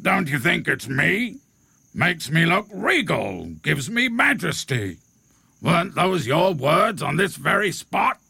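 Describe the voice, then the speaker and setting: A vain, grumbling storybook rhinoceros, with a very gravelly, forceful voice. He speaks slowly and pompously, swooping theatrically up and down as he makes much of himself. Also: fairly high pitch, very animated, no room sound, pitched above the performer's own voice, full character voice. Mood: pleading, proud. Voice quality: gravelly, husky. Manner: pompous, theatrical, drawn-out delivery.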